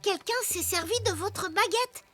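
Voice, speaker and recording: A woman doing a bright wooden puppet boy. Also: measured pace. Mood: eager. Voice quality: high, bright, eager. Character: small cheerful wooden puppet boy detective. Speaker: lead character. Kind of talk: dubbed cartoon acting. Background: children's music.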